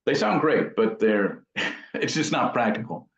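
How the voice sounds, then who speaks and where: A man's voice: medium pitch, very animated, a little slow, creaky. A man giving casual interview answers as a guest craftsman, thinking aloud and correcting himself as he goes. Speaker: guitar amp builder being interviewed. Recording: roomy, dull lo-fi sound.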